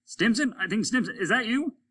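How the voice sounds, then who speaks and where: A man's voice: high-pitched, expressive, fairly quick, bright, forceful tone, slightly rough, pitched above the performer's own voice, full character voice. A man playing a tense, commanding astronaut in a story, urgent, clipped and short-tempered.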